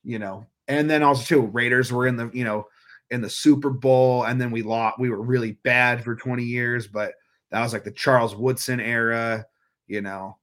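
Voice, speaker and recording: A man's voice: medium pitch, steady tone, normal speed, fairly bright tone. A man, chatting casually as a podcast guest in a rambling, profane, riled-up way. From Northern California. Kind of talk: casual chat.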